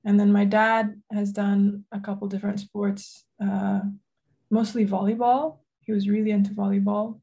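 Low-key woman with a gravelly tone. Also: flat delivery, moderate pace, warm tone, muffled recording. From Saint Lucia. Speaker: guest athlete. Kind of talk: casual interview chat. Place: home room, indoors. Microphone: video call.

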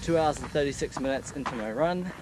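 A man's voice: on the high side, quick pace. Young male vlogger, mid-run and winded, upbeat, outdoors with music bed. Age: young adult. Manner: upbeat, outdoorsy narration while running. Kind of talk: casual vlog to camera. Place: outdoors on a mountain trail. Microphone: action camera held at arm's length.

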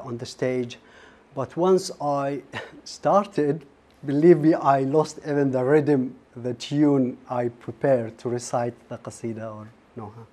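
A man, answering as a contestant in a humble, earnest way with many pauses. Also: medium pitch, darkish tone. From Iraq. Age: 40s. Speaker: talent show contestant. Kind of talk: soft-spoken interview answers.

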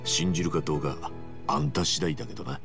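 An actor playing an earnest, good-hearted tough guy in a video game, speaking bluntly and roughly from the heart. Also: low voice, very animated, fast talker, music in the background, full character voice. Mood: earnest, grateful. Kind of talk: video game cutscene acting.

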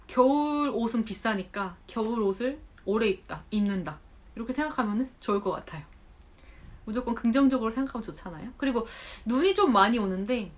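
A Korean woman in her thirties talking casually to camera, chatty and animated, acting out remarks she quotes. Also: fairly high pitch. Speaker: vlogger. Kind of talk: unscripted talk to camera. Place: home in Japan.

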